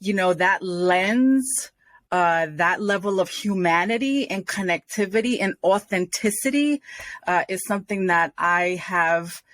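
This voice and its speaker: 40s woman, spanish was her first language, eager storytelling, lowish voice, dry close sound. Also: a little slow, a bit bright, no echo. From Rhode Island, United States. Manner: earnest, enthusiastic storytelling. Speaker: guest. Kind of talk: podcast interview.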